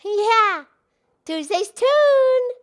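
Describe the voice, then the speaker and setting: A woman's voice: high-pitched, lively intonation, normal speed, a bit bright. A woman voicing a cheerful, chatty female pig who hosts a puppet show, talking in bubbly, eager, rambling bursts.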